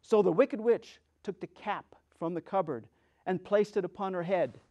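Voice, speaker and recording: Male storyteller, slightly high voice, theatrical and deliberate, for dramatic narration of a children's tale. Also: darkish tone, muffled recording.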